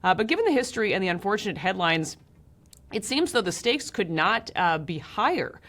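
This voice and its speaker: An American woman hosting a news interview in a measured, serious way. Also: mid-range voice, big pitch swings, fairly bright tone. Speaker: interviewer, host.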